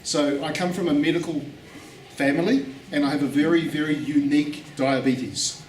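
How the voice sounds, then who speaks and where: A man giving a relaxed conference talk, repeating words for emphasis, with pauses for an interpreter. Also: a little high. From New Zealand. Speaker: guest speaker. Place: conference hall. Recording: echoey hall, distant mic, faint noise.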